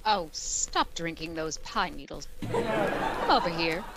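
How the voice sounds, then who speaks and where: Radio comedy schoolteacher woman actor, quick-witted and sardonic, lively intonation over a noisy background. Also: low-pitched, a loud crowd, full character voice. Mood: dry, musing. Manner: dry, sardonic. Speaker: lead actor in a radio play recreation. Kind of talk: old-time radio comedy acting. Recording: clear background noise.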